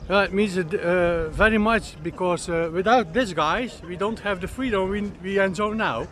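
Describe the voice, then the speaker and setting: High-voiced Belgian man, halting English. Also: a bit bright. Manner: halting, searching for words. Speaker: interviewee. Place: outdoors at an event.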